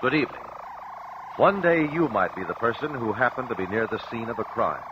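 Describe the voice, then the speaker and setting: Actor as a solemn, expressive investigator-narrator. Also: male voice, mid-range voice, a little fast, noisy background, close to an everyday voice. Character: grave male investigator addressing the audience. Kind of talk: vintage radio drama narration. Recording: dull old radio broadcast recording. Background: loud music.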